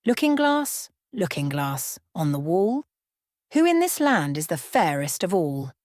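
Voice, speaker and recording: A woman's voice: lowish voice, sing-song, a little slow, darkish tone, no room sound. Lady doing evil jealous fairytale queen, dramatic n menacing, dry close sound. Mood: vain. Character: wicked, vain, jealous witch queen, raging and scheming.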